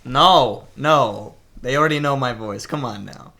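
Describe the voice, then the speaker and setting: A man with a bright, forceful tone tossing out teasing remarks in easy banter. His voice sits at a middle pitch. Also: a little slow. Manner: teasing, playful. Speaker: guest player. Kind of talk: casual podcast banter.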